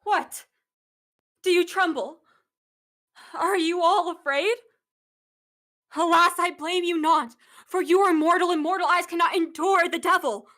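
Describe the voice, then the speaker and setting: Casting: young actress for furious bereaved noblewoman, Shakespearean radio drama, extremely high, sharp voice, scornful and impassioned. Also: unhurried, long pauses, exaggerated voice. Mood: outraged, fearful. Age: young adult. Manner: declamatory, impassioned. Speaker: acting student. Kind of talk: Shakespearean radio play acting.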